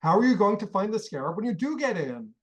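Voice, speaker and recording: A man's voice: high voice, very animated. Guy doing a cranky old american rich man, barking orders, voice jumping all over the place. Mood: scolding. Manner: barking, scolding, rapid-fire orders. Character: irascible older American millionaire, bossy and impatient. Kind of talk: radio play acting.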